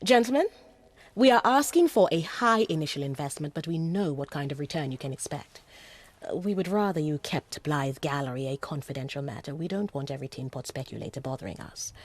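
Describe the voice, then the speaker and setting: Poised, husky-voiced saleswoman. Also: low voice, animated, a little fast. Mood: confidential, persuasive. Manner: poised, persuasive, formally courteous. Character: smooth, assured female gallery saleswoman pitching a property investment. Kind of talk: radio drama acting.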